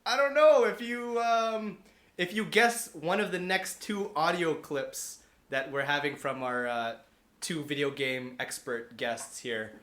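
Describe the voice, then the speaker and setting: A man co-hosting a podcast, chatting casually with a halting delivery full of fillers. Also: a little high, fairly bright tone. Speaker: podcast co-host. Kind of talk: casual chat.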